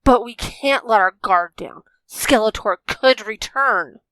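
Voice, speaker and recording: A man's voice: high voice, takes it slowly, bright, forceful tone, slight background noise, voice pushed up, exaggerated voice. A man voicing a determined, wary young female fighter, earnest and urgent, in a story reading.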